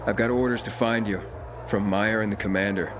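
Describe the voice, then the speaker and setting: An actor playing a blunt, determined adventurer in a video game, speaking in a direct, plain way. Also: male voice, lowish voice, steady tone, a little fast, background noise, close to an everyday voice. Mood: firm.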